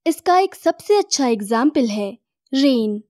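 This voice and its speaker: A woman giving a scripted voice-over for an animated technical lesson, in a measured explaining tone. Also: high voice, no echo, clean studio sound.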